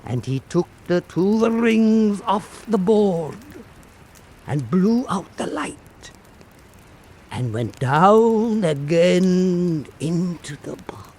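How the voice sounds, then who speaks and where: A man with a notably high voice narrates an old folk tale. He takes his time, speaking slowly and dramatically, with pitch that rises and falls widely. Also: lively intonation, a little mellow, a little hiss or hum. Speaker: storyteller.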